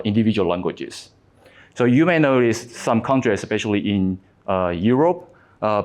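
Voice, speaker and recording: A man in his thirties from Taiwan with a Taiwanese accent, whose first language is Mandarin, giving a conference presentation with many fillers and restarts. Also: on the low side. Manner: halting, frequent fillers and restarts. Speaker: conference speaker.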